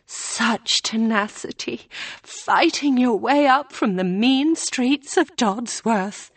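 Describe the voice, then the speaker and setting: A woman's voice: high-pitched, expressive, slow, a little mellow, no echo, voice pushed up. Woman reading a mocking young female student, arch and raised. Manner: mocking, teasing.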